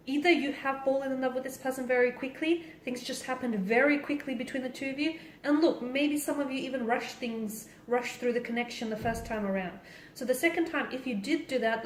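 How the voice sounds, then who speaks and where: A woman giving a conversational tarot reading straight to the viewer, stressing points by repeating and rephrasing them. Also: a little high. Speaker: tarot card reader and host. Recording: roomy echo with faint background noise.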